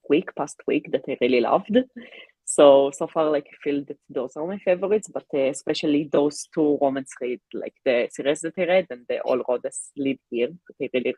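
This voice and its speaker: A woman with a low, slightly rough voice, recorded close and dry. English is not her first language, and she searches for words as she chats informally on a reading livestream. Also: mellow, no room sound, poor audio. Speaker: co-host of a livestreamed reading sprint. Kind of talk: casual unscripted livestream chat about books.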